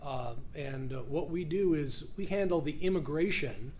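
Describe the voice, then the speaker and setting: A middle-aged man from New York with a New York accent, talking as a lawyer guest in a casual interview in a conference room, quick, with lots of "uh" fillers. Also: fairly high pitch, a little mellow, a little hiss or hum.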